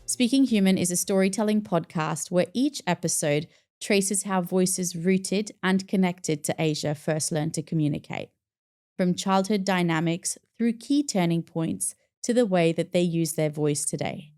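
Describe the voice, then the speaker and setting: A woman's voice: fairly low pitch, a little slow, darkish tone. A woman hosting a studio podcast, reading a scripted intro and then asking curious, conversational questions. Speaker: podcast host. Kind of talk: podcast interview.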